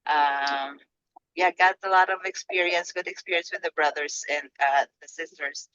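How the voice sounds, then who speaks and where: A woman, the guest's wife, speaking softly and hesitantly with many fillers over a phone call. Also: low-pitched, steady tone, normal speed, clear, resonant tone, no room sound. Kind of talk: casual chat. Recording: dull lo-fi call audio.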